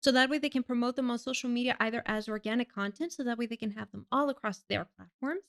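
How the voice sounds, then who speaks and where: Woman guest from Greece, smooth clear voice, relaxed chat with frequent ums. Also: fairly high pitch, quick pace. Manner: chatty, laughs often, many ums. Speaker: podcast guest. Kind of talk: casual interview chat.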